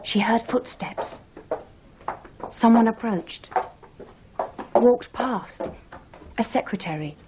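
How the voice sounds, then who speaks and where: A woman acting a dreamy aspiring novelist who narrates herself in a deliberate, self-dramatising way, in a radio drama. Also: a little high, lively intonation, background noise. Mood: brooding, literary. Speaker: actor in a radio play. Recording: dull 1980s radio recording.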